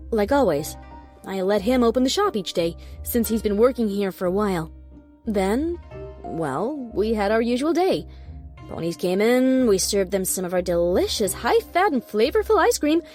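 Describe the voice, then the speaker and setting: A woman playing a confident pony shop owner in an audio drama, brisk and conversational. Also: medium pitch, sing-song, darkish tone, faint music behind, close to an everyday voice. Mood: brisk, agreeable. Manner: businesslike, conversational. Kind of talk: fan audio drama acting.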